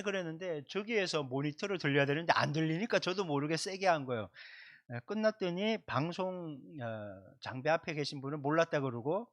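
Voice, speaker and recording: A man's voice: fairly high pitch, a bit bright. A man preaching as a pastor at a Sunday service, speaking emphatically and directly to his congregation. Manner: emphatic, repeats points and addresses the congregation directly. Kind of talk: Sunday sermon with prayer. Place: church service, livestreamed.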